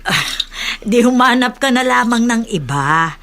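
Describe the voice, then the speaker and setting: An actress with a Filipino accent playing a concerned older household confidante in a radio drama, frank and questioning. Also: female voice, fairly high pitch, lively intonation, measured pace, a bit bright. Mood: probing, reasoning.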